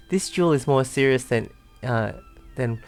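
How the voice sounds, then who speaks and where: Really low voiced girl streaming a game, chatty amused reactions, music in background. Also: a little mellow. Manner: amused, exclamatory. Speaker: let's play streamer. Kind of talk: casual gameplay reaction commentary.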